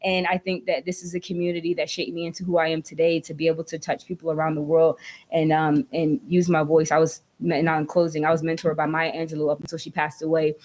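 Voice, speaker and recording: Low, flat-voiced woman making an earnest appeal. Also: fast. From Georgia, US. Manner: earnest, heartfelt appeal with frequent "right?" and "you know". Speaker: community speaker addressing city council. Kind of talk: public comment at a council meeting. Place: council chamber.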